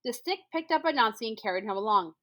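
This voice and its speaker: A woman's voice: a little high, expressive, fairly bright tone. A woman reading a children's picture book aloud expressively, stressing words and drawing out repetitions for effect. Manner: emphatic, with drawn-out repetitions for effect. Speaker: school storyteller.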